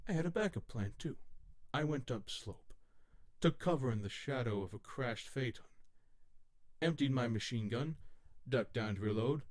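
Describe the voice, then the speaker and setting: A wry gunslinger robot from a sci-fi game, acted by a man, recounts old battles in a medium male pitch. The telling is conversational and dramatic, like a seasoned fighter reminiscing. Reflective pauses break up the story. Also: lightly acted. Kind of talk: dramatic first-person storytelling, fan dub.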